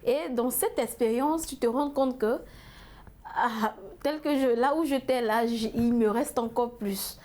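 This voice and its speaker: A young woman with a mellow, warm voice speaking as a panel guest. She talks haltingly, often stopping to find her words. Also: a little high. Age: young adult. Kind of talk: panel discussion.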